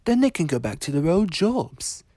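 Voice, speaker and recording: A man's voice: on the high side, very animated, moderate pace, dark tone, no room sound, clean studio sound, voice pushed up. A man doing an eager little diesel engine. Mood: earnest. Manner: earnest, polite, a little teasing. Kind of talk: storybook character voice.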